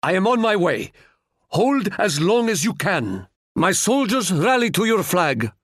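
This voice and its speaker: Proud Ottoman sultan, wise ruler, somewhat high male pitch, stately, formal, measured, video game character, dry studio sound. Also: very animated, a bit bright, no echo, heavily acted. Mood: generous, assured. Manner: stately, formal, measured, proverb-laden delivery.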